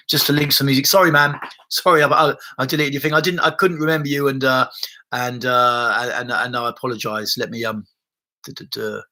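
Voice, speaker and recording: A man's voice: mid-range voice, pushed and bright. A man, a body-based therapist chatting loosely and rambling through viewer comments on his livestream. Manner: rambling, loose and conversational with frequent restarts and swearing. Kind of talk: casual livestream chat answering viewer comments. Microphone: laptop mic.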